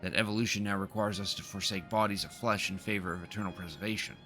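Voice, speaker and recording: A man's voice: sits low, steady tone, fast talker, pushed and bright. A man livestreaming a game, reading its dialogue aloud with casual, slangy asides. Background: video game music.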